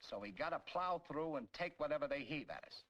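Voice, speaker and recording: Tough grumbling American tank soldier, 1940s training film: brash, sharp, swooping emphatic delivery, noisy old soundtrack. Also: male voice, fairly high pitch, lively intonation, a little fast, muffled recording. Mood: gruff complaint.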